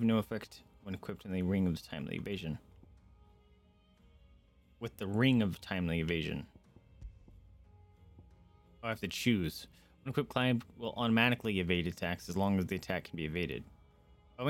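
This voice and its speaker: A man giving casual gameplay commentary as a streamer, muttering to himself as he reads on-screen text aloud. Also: fairly low pitch. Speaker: streamer playing a video game. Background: video game music.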